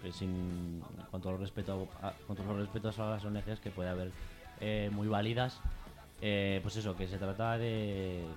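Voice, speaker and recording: A man's voice: lowish voice, flat delivery, normal speed, music in the background. A man with a Peninsular Spanish accent speaking informally as an activist guest in a radio interview, hesitating, rambling and repeating himself.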